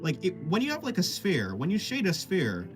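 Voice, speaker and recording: A man talks casually on a live art stream, working through explanations in loose, rambling bits that keep restarting. His pitch moves around a lot and never sits flat. Clear music plays underneath his voice the whole time. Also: normal speed. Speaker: artist streamer. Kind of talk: casual live-stream chat while drawing.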